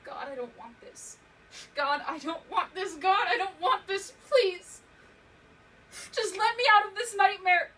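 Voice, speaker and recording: A woman acting a haunted young survivor of abuse in a dramatic stage monologue, halting and emotional, repeating words for emphasis. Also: fairly high pitch, steady tone, a bit bright. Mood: desperate pleading. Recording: distant mic, clearly noisy room recording.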